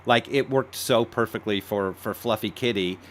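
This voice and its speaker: A man's voice: fairly low pitch, moderate pace, a bit bright. A man co-hosting a podcast in casual, effusive, complimentary interview chat. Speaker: podcast co-host.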